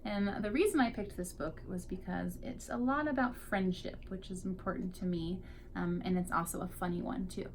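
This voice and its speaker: A woman's voice: on the low side, normal speed, slight background noise. A woman teacher reading a picture book aloud expressively to young children, drawing out key words for emphasis.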